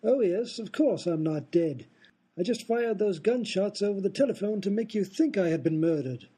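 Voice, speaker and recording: A man's voice: high-pitched, lively intonation, normal speed, warm tone, breathy edge. An actor playing a smug, scheming aristocrat in a comedic radio play, explaining his prank at length.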